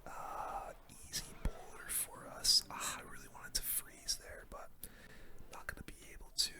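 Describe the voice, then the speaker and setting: A video game streamer giving casual ASMR gameplay commentary in a soft, breathy whisper, with relaxed gamer slang. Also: moderate pace. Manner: casual gamer slang, murmured reactions to play.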